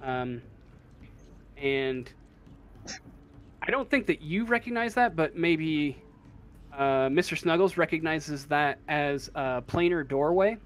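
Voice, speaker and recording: A man working as game master, improvising narration for a tabletop role-playing game in a halting way, searching for words. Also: a little high, lively intonation, slight background noise.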